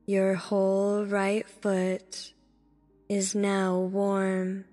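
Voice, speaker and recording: A woman narrating a guided meditation in a soothing, deliberate manner, speaking in short instructive phrases. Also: medium pitch, completely flat delivery, mellow. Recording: long reverb with background music. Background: music.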